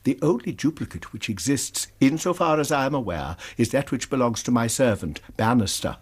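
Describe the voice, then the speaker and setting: Radio drama: anxious, formal British male academic, precise and earnest, lively pitch, slightly rough voice. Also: a little fast. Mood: earnest recounting. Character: anxious, proper college lecturer reporting a crime.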